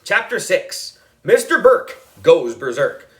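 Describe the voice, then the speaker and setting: A man narrating a children's story in an expressive, playful style. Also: high-pitched, takes it slowly. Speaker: audiobook reader.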